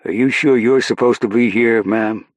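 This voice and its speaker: A man's voice: mid-range voice, normal speed, dry recording, pitched above the performer's own voice, close to an everyday voice. A man voicing a plain-spoken military police sergeant in an audiobook, blunt but respectful. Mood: doubtful.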